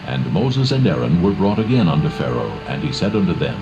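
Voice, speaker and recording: A man's voice: rumbling low, sing-song, fairly quick, gravelly, highs cut off. A man giving a solemn, dramatised scripture narration. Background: prominent background music.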